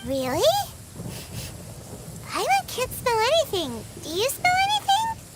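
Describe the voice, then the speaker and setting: A woman voicing a small, excitable, curious fairy companion with a squeaky childlike voice in an anime game. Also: measured pace, heavy background noise, full character voice. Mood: puzzled. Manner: perky, inquisitive. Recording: loud music behind.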